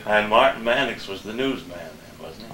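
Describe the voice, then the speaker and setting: A man's voice: lowish voice, lively intonation, normal speed, pushed and bright. An older man, once a radio worker, reminiscing casually with friends. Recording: echoey room, distant, faint noise.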